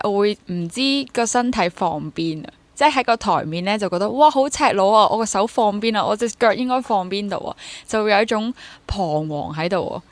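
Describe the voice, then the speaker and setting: A woman's voice: mid-range voice, no room sound. Young Hong Kong woman, conversational. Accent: Hong Kong Cantonese. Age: young adult. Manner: code-switches into English words. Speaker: interview guest, theatre actor and drama teacher. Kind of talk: casual interview conversation.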